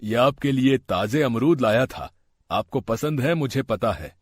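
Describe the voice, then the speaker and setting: A humble older village father, put on by a man storyteller. He is affectionate and halting, with a husky, lively voice in a dry recording. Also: mid-range voice, no echo. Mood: warm, cheerful.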